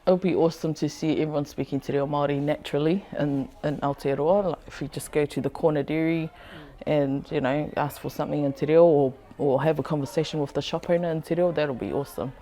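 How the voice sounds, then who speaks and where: A woman from Samoa who works as a teacher, talking casually in an interview, relaxed and quick to laugh. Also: low-pitched. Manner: chatty, relaxed, laughs easily, mixes languages. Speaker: language teacher.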